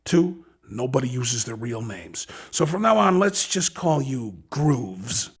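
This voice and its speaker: A man playing a cocky street racing recruiter in a video game, with a raspy voice and slangy, coaxing patter. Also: mid-range voice, sing-song, slow pace, full character voice. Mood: cocky, encouraging. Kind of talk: video game character dialogue.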